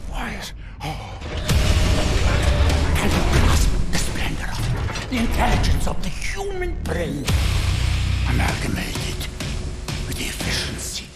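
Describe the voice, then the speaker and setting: An actor playing a cold, scheming older male villain scientist, speaking in measured, menacing tones in a game cutscene, with echo and loud music. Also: high-pitched, wildly swooping pitch, heavily acted. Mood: grandiose. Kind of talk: video game cutscene acting. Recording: echoey room under loud music.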